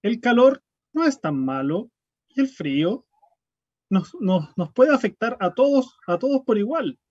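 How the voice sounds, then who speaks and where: A reasonable tomato voiced by a performer argues in a husky voice with lively pitch. The improvised radio play comes over a dull video call. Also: measured pace. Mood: persuasive. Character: reasonable tomato agreeing with the others.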